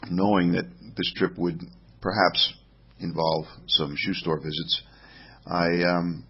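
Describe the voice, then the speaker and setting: A man's voice: sits low, muffled recording. A middle-aged man hosting a casual solo podcast from home, rambling with many fillers and restarts. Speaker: podcast host.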